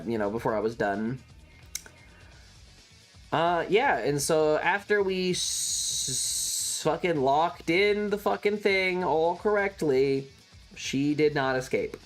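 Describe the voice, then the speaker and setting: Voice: mid-range voice, takes it slowly, faint music behind. A streamer telling a casual, rambling story, swearing freely and repeating words for emphasis.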